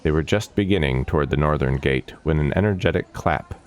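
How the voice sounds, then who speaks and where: A man narrating a scripted dark fantasy story in a measured, solemn and dramatic manner. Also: very deep voice. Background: background music.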